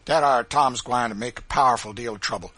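A man's voice: medium pitch, fairly quick, bright, forceful tone, no room sound, voice pushed up. A man voicing a brutal, spiteful plantation driver in an audiobook, guttural, with heavy dialect speech and barked threats. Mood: sullen, scheming.

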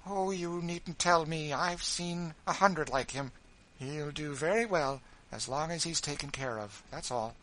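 A man's voice: a little slow, rough recording. A man voicing a petulant, self-pitying wealthy widow, speaking sharply in a raised voice. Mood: haughty. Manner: complaining, sharp, high and raised.

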